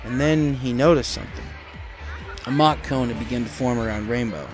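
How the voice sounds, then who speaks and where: A man's voice: mid-range voice, brisk. Male reader for dramatic fiction narration, mid-range voice, music underneath. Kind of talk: dramatic scripted story narration.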